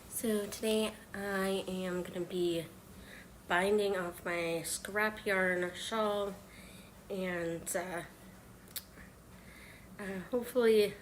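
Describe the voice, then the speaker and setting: A hoarse woman chatting softly on a live stream while she knits, with many pauses and fillers. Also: mid-range voice, slight background noise. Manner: halting, many ums and uhs. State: hoarse from illness. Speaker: knitting livestreamer. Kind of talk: soft-spoken live stream chat.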